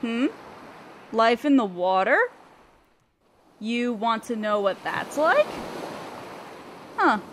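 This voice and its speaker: A woman's voice: on the high side, lively intonation, takes it slowly, pauses often, a bit bright. A woman playing a guarded young female selkie in a roleplay audio drama, aloof and hesitant yet curious as she talks to the listener. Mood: musing, casual. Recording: ocean waves and wind behind voice. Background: surf, waves and wind sound effects.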